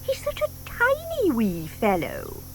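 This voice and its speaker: A woman's voice: sits high, wildly swooping pitch, takes it slowly, slight background noise, exaggerated voice. An actress playing a doting, sentimental older woman who coos over her pet in an audio drama, with gushing, emphatic delivery. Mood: fretful.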